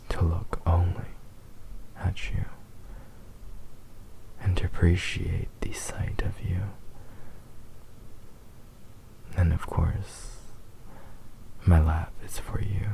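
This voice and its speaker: ASMR roleplay: doting, possessive male fox demon, played by a man; dark mellow tone, very even, hushed and soothing. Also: sits low, full character voice, low-quality recording. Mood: devoted, possessive. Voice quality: breathy, whispery. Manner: slow, tender, soothing, halting.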